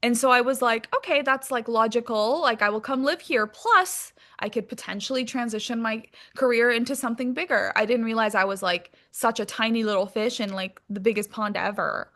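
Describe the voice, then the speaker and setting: A woman's voice: a little high, brisk, hardly any pauses, sharp tone. A woman being interviewed as a guest, talking casually and candidly with informal language and some swearing.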